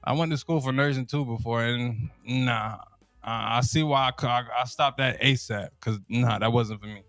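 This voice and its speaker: A man's voice: medium pitch, normal speed, fairly bright tone, no echo, soft background music. A young man from Miami with a Miami accent, telling comic stories in a loose, slangy way, repeating himself and laughing at his own lines. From Miami, Florida. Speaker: comedian. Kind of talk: casual stand-up style storytelling.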